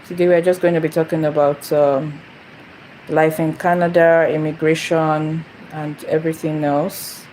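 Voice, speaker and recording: A woman's voice: low voice, level tone, measured pace, a little mellow, slight background noise, poor audio. A young woman from Nigeria hosting a casual phone livestream, rambling and repeating herself as she greets viewers. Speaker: channel host. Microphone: phone camera.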